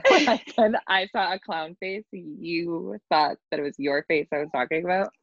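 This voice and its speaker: A woman with a deep, chesty voice with some vocal fry. She teases her co-host and giggles as she chats. The video call audio is dull and dry. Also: moderate pace, dry recording. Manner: teasing, giggly. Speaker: podcast co-host. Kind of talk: casual chat over video call.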